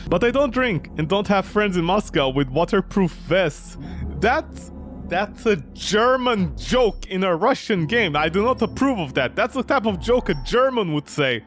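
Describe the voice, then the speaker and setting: Animated, high-pitched man doing incredulous game commentary. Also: unhurried, soft background music. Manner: incredulous, animated, mocking reactions. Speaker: video game streamer. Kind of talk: gameplay commentary, reading visual novel text aloud and reacting.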